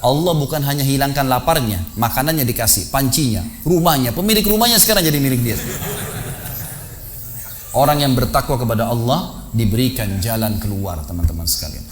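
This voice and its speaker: A man preaching at a mosque gathering, telling a story with lively, acted-out dialogue. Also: a little fast. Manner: animated storytelling, acts out dialogue with rising pitch.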